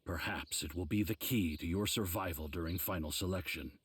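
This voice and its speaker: A man voicing a stern but caring old sword master in an anime game, gruff and solemn. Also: no room sound. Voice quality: husky, gruff, low. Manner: measured, solemn mentoring.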